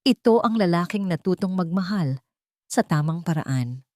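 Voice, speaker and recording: A woman narrating a scripted romantic story in a measured, sentimental storytelling manner. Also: fairly low pitch, animated, warm tone, no room sound, clean studio sound. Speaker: narrator of romance audio stories.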